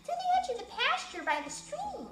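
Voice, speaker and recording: A timid, anxious mother mouse from a storybook, with a very high, bright voice, as a woman puts her on. The recording is echoey, with faint music underneath. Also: huge pitch swings, normal speed, heavily acted.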